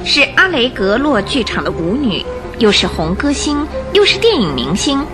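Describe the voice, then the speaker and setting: A woman's voice: a little high, big pitch swings, moderate pace, music in the background. A woman narrating a radio drama, reading scripted story and news reports.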